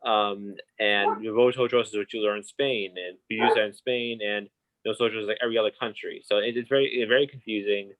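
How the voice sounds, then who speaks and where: A young man with a lowish voice chats on a podcast. He hesitates often and fills his pauses with um and uh. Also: steady tone, a bit bright, dull audio. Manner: hesitant, lots of ums and uhs. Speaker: podcast co-host. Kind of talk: casual discussion.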